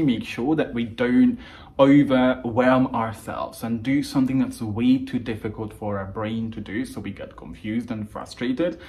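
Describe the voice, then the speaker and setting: A man's voice: medium pitch, darkish tone. A man in his thirties with a Hungarian accent, an English fluency coach giving an earnest, emphatic video lesson to camera from a small room at home. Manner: earnest, emphatic, gestures a lot with hands. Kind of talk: scripted YouTube lesson to camera.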